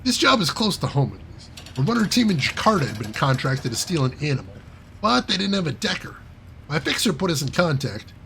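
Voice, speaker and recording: A man's voice: a little high, very animated, a little fast, vocal fry, pushed and bright, slight background noise, full character voice. A man reading aloud and voicing a wry male hacker character who tells a self-deprecating heist story in a chatty way. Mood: sheepish.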